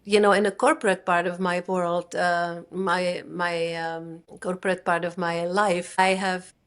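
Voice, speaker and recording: A middle-aged woman with a Czech accent, a native Czech speaker and executive coach, talking thoughtfully as an interview guest and sometimes pausing to find English words. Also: on the low side, level tone. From Czech Republic. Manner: thoughtful, searching for words, careful phrasing. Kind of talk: reflective interview conversation.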